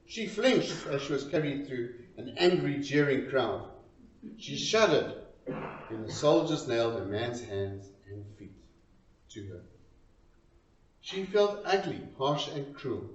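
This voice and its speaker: Male storyteller, slow and very animated delivery, dramatic pauses, recorded in a roomy space. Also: medium pitch. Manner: expressive, deliberate, pausing between short phrases. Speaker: reader of a poem. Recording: roomy, distant, dull lo-fi sound.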